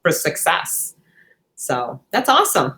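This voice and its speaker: Lower-pitched woman co-hosting a chat. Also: sing-song, slow pace, sharp tone. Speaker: co-host interviewing guests. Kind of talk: casual interview chat.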